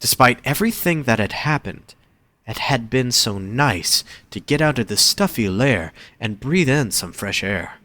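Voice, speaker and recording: A man's voice: mid-range voice, expressive, measured pace, bright, forceful tone, no echo. A man reading scripted story narration as the narrator of an audio drama.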